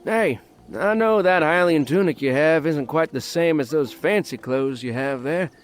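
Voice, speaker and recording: A man's voice: fairly high pitch, moderate pace, slight background noise, lightly acted. A man playing a cheerful, talkative, folksy villager with a teasing manner in a fan audio drama. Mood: cheerful, encouraging.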